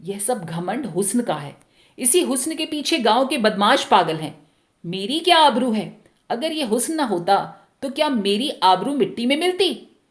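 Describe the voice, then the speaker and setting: A woman's voice: on the high side, sing-song, a little slow. A woman voicing a jealous, suspicious young village husband in a storybook reading, questioning in an agitated, accusing manner. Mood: brooding, aggrieved. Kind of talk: dramatic Hindi short story reading.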